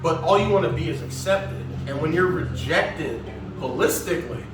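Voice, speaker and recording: A man speaks as a podcast guest, earnest and reflective, with casual swearing. His voice sits a little high. He sounds a bit off-mic in a reverberant room while music plays underneath. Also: expressive, moderate pace. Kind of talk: candid conversation. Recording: echoey room, far from mic, music behind.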